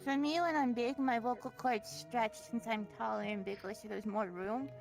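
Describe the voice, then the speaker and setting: Woman as timid rabbit fae girl, high creaky voice, apologetic, music playing behind. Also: normal speed. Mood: soft. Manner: soft-spoken, hesitant, apologetic. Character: small shy female rabbit fae who shifts forms. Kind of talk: voice chat roleplay.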